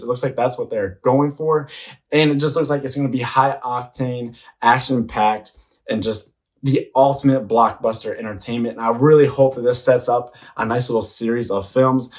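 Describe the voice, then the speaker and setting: A man's voice: mid-range voice, normal speed. A man giving casual, slangy commentary as an enthusiastic host reacting to a movie trailer. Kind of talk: excited casual commentary to viewers.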